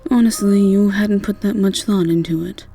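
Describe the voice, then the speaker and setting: A woman's voice: mid-range voice, fairly even intonation, normal speed, dark tone, soft background music. A woman narrating a scripted fantasy roleplay in the second person, with a wry storyteller's delivery. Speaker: narrator and voice actor.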